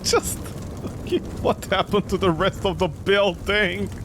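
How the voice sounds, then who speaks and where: A man's voice: sits high, fairly even intonation, unhurried, noisy background. A man giving casual, sarcastic and incredulous commentary as he streams a video game.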